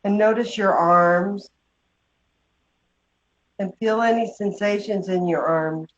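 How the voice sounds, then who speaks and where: A woman leading a guided meditation, giving slow, gentle instructions with long pauses. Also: medium pitch, steady tone. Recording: dull, muffled lo-fi sound.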